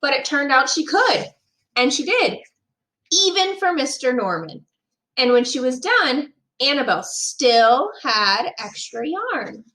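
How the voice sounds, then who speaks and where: A woman reading a picture book aloud as a teacher would, expressive and emphatic for young children. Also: high voice, measured pace, low-quality recording.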